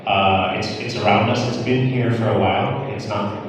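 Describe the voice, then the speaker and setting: Indonesia-born founder in echoey hall. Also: male voice, on the low side, normal speed. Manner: fluent, reflective, many fillers. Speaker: startup founder, panelist. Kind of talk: panel talk. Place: large event hall. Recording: echoey hall from far off, noisy.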